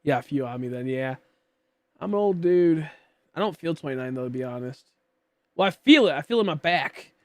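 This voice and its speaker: A man's voice: on the high side, expressive, a little fast. A man in his late twenties chatting casually while streaming a game, rambling and joking about himself as he talks to viewers and a friend. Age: 20s. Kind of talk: casual livestream chat while gaming.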